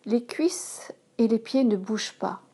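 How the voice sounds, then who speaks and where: A woman yoga instructor leading a guided relaxation in a calm, measured manner, giving instructions in short phrases. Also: fairly high pitch, breathy edge. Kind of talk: slow guided relaxation meditation.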